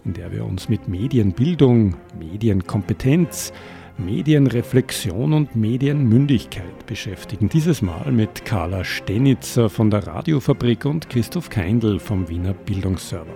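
A man's voice: on the low side, animated, a little slow, gravelly, dark tone, faint music behind. A man with an Austrian German accent hosting a community radio show, introducing topics and interviewing a guest in the studio, often hesitating with fillers as he asks questions. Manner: hesitant with many fillers when asking questions. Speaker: radio host.

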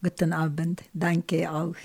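A woman with an Arabic accent speaking German as a second language in a radio studio interview, halting, with grammar slips and frequent fillers. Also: low voice, level tone, creaky, darkish tone, breathy edge. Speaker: studio guest, volunteer refugee helper.

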